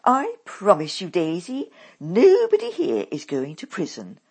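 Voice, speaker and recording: Woman doing a bossy sneering witch mum from a storybook, swoopy loud voice, husky. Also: on the low side, huge pitch swings, takes it slowly, pitched above the performer's own voice, full character voice. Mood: scornful. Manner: bossy, scornful, snappy. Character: scornful scheming stepmother witch, middle-aged woman.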